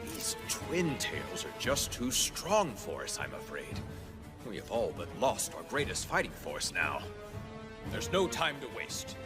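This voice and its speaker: Worried monster officer in an anime dub, super dramatic and formal, big music under him. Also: male voice, fairly low pitch, huge pitch swings, measured pace, exaggerated voice. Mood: grave, dismayed. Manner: theatrical, formal. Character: anxious male monster commander, formal peacemaking villain officer. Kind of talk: anime English dub acting.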